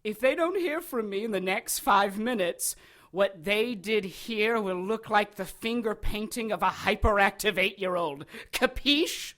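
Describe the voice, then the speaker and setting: An actress in a radio drama playing an ancient, sadistic villainess with a mocking, theatrical delivery. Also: female voice, medium pitch, measured pace, fairly bright tone, lightly acted. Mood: gleeful, theatrical. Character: gleeful, sadistic, theatrical villainess, centuries old.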